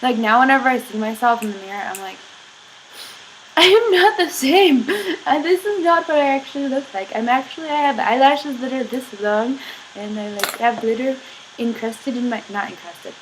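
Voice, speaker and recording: A young woman rambling in a casual vlog, filling every pause with "like". She is sick, and her voice sounds a little husky. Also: fairly high pitch, expressive, slight background noise, poor audio. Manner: chatty, filler-heavy, giggly, says "like" constantly. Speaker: vlogger.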